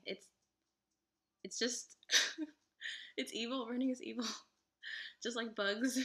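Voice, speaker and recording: A woman's voice: medium pitch, sharp tone. A young woman whose first language is Igbo, chatting casually to camera with frequent giggles and fillers. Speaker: vlogger.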